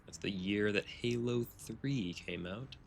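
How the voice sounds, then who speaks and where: Deep-voiced, gravelly male game streamer. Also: takes it slowly, a little hiss or hum. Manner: laid-back, offhand rambling. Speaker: video game livestreamer. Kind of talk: casual livestream chat and game commentary.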